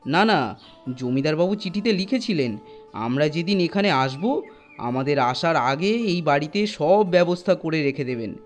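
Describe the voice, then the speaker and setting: A man voicing an affectionate father of a little girl, tender, patient and mildly firm, in an animated story. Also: a little high, normal speed, faint music behind, lightly acted. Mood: reassuring.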